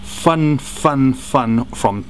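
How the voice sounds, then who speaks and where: A man giving an animated, gushing review of audio dramas and radio plays, throwing in jokes as he goes. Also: mid-range voice, extremely slow, a little hiss or hum. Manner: animated, jokey, gushing with praise. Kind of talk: enthusiastic product review.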